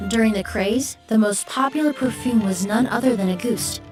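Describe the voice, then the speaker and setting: Casting: actress as a poised, principled young female perfumer for a fantasy game, high voice, courteous and composed, music underneath. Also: darkish tone, distant mic, natural voice. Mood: measured explaining. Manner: measured, polite, refined. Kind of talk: anime game story dialogue.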